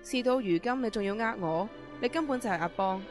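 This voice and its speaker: A woman's voice: a little high, animated, fairly quick, lightly acted. A woman acting a scheming, desperate middle-aged prisoner in an amateur radio drama, commanding and manipulative. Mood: hurt, desperate. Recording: radio drama with music underneath.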